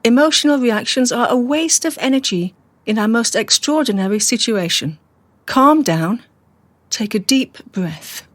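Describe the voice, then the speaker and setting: An actress playing a composed, rational captive mother in a radio drama, speaking in a measured, precise, matter-of-fact way. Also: fairly high pitch, lively intonation, no room sound. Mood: calm, coaxing.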